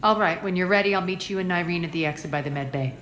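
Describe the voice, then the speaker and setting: A woman's voice: fairly low pitch, fast, a bit bright, slight background noise, natural voice. A woman playing a ruthless, haughty alien crime queen in a video game, speaking with cool deliberation. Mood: cool, imperious.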